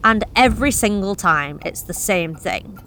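A woman's voice: mid-range voice, lively intonation, measured pace, pushed and bright, a little hiss or hum. A young woman reading a first-person horror story in a wry, confessional, conversational way. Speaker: narrator.

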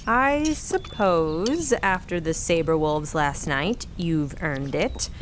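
A woman's voice: deep voice, animated, takes it slowly, a little hiss or hum. A woman playing a blunt, determined, sarcastic traveler with an impatient, exasperated manner in a comedic fantasy audio drama. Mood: firm, sardonic.

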